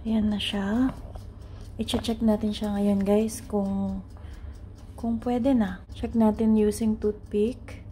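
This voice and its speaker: A woman's voice: mid-range voice, level tone, takes it slowly, mellow, a little hiss or hum. A young woman with a Filipino accent, chatting viewers through a recipe in her home kitchen, filmed on a phone. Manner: chatty, instructive, addresses viewers as guys. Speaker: cooking vlogger. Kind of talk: casual cooking tutorial.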